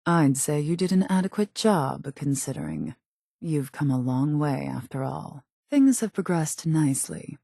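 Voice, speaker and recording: An actress voicing a cold, calculating raider gang leader in a video game, composed and formal, with measured, quietly menacing delivery. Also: female voice, sits low, animated, gravelly, no echo, clean studio sound, heavily acted. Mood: cool, measured. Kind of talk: video game character voice acting.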